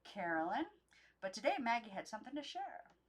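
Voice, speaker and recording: A woman's voice: fairly high pitch, very animated, fairly quick, breathy edge. Young woman reading a storybook aloud. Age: young adult. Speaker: amateur audiobook reader. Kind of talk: storybook narration, read aloud unedited.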